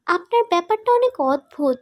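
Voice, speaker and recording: A woman playing a concerned, questioning young friend in an animated romantic drama. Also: very high-pitched, brisk, dry recording. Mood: curious, concerned.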